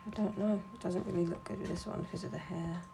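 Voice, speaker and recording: A young woman with a low, gravelly voice that stays flat in pitch. She rants bluntly and swears freely while she chats over her drawing on a home stream. A faint hiss sits behind her. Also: fast talker. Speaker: streamer and artist.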